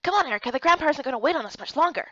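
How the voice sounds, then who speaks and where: A cheeky young wolf boy from a fantasy game, played by a woman, talks in a casual, brusque and sarcastic manner. The voice is impatient and lively, on a dry, slightly muffled recording. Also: high voice, rapid-fire, dry recording, pitched above the performer's own voice.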